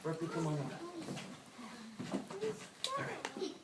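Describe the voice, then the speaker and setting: A woman hosting a live, interactive story for children in an animated, folksy, exclamatory way. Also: sits low, a little slow, heavy background noise. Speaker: puppet show host and storyteller. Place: indoor room with an audience. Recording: echoey room, distant mic, music.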